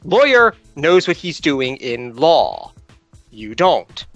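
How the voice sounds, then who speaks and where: High-pitched, emphatic man lecturing fellow players. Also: sing-song, takes it slowly, fairly bright tone, no echo, lo-fi sound, faint music behind. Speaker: tabletop game player. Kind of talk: casual argumentative game banter.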